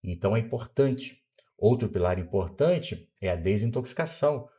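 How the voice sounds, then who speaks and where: A man's voice: on the low side, lively intonation, normal speed, warm tone. A Brazilian doctor in his fifties, a podcast guest who explains things in a teaching way and answers his own rhetorical questions. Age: 50s. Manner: didactic, asks himself rhetorical questions and answers them. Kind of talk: explanatory interview talk.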